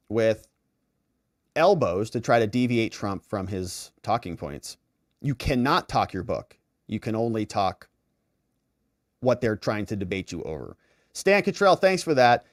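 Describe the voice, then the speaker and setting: A man hosting a live commentary stream, reading out viewer messages and thanking supporters in a chummy, effusive way with theatrical, drawn-out emphasis. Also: on the low side, no room sound, clean studio sound. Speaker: host of a live political and legal commentary stream. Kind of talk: casual livestream sign-off, reading viewer messages and shout-outs.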